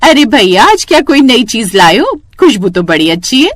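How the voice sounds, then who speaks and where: Impressed female market shopper for village cartoon, played by a woman, eager and exclaiming, higher animated delivery. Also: normal speed, a little hiss or hum. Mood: curious. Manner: exclaiming, enthusiastic.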